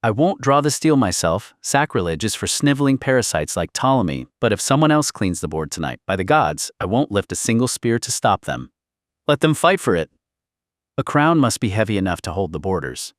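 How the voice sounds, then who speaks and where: A grim old warrior voiced by a male narrator speaks like a weary, fierce patriarch who has seen it all. The pitch is fairly low and the audiobook sound is dry and close. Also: very animated, fairly quick, no room sound. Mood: grim resignation.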